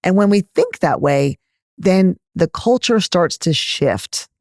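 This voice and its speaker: A middle-aged woman with a Texas accent, a gynecologist answering interview questions in an animated, candid, emphatic way. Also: fairly low pitch, takes it slowly, no echo, clean studio sound. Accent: Texan. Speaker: gynecologist guest.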